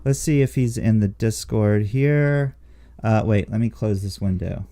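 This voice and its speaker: A man's voice: on the low side, a little slow, dark tone. A middle-aged man co-hosting a casual chat show, speaking bluntly and informally with plenty of swearing. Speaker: podcast co-host.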